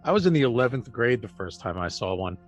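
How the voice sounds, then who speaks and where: An easygoing UFO investigator played by a man in a radio play. His voice is mid-pitched, and he talks casually with a wry edge. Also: big pitch swings, quick pace, faint music behind, close to an everyday voice. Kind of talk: audio drama dialogue.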